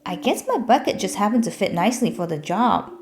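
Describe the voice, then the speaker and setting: A woman's voice: mid-range voice, expressive, fairly quick, a little hiss or hum, natural voice. A woman voicing a tiny, shy young male excavator who is earnest and hesitant, in a children's storybook. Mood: shy, unsure.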